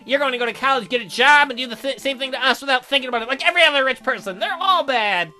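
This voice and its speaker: A woman's voice: high-pitched, very fast, bright, forceful tone, faint music behind. A woman voicing a zealous, angry young female vigilante in a visual novel, preaching and giving bossy orders. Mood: accusing.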